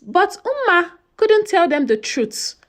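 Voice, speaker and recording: A woman narrating a folktale as a scripted story, with an expressive, dramatic delivery. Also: extremely high pitch, slow pace.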